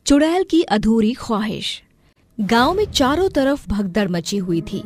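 A woman's voice: a little high, very animated, a little slow, darkish tone, soft background music. A woman narrating a scripted horror story.

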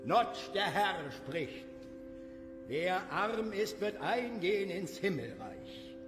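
A pompous, wrathful village preacher, acted by a man in an audio drama. His high voice moves slowly and declaims, ringing through a long hall reverb. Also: very animated, heavily acted. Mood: solemn. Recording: reverberant church-like hall, faint music.

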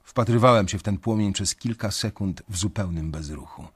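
Low-voiced, brooding young banker. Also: male voice, breathy edge. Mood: brooding, measured. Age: young adult. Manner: measured, introspective storytelling. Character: young male banker, brooding, conscience-stricken narrator. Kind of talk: first-person radio drama narration.

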